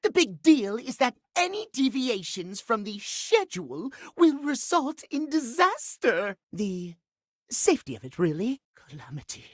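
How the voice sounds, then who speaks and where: A fussy stuck-up clock character for a game, talks slow n super proper, very dry close sound. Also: male voice, very high voice, big pitch swings, no room sound, full character voice. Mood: prim, officious. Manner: prim, haughty, precise elocution. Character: pompous, fussy male clock obsessed with punctuality. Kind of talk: theatrical video game character acting.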